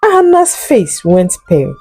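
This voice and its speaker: A woman narrating a scripted folk tale in a solemn, deliberate and dramatic storytelling manner. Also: on the low side, wildly swooping pitch, warm tone, husky, dry recording, faint music behind.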